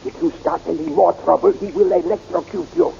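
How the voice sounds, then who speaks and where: An actor playing an anxious, excitable elderly male scientist who talks in an urgent, pleading way, on a muffled old radio recording. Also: high voice, a little fast, gravelly, a little hiss or hum, full character voice. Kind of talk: 1930s radio drama acting.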